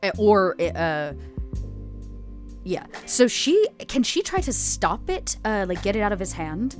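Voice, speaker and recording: A woman's voice: mid-range voice, sing-song, a little slow, soft background music. A woman player in a tabletop roleplaying game, casually and playfully describing what her character does. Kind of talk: casual improvised roleplay chat.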